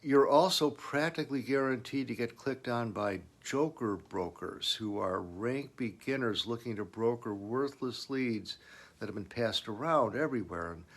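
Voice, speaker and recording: A man with a medium-pitched voice narrates a business lesson. He follows a semi-scripted explanation in an instructional manner, leaning on the key points as he goes. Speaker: business advice presenter.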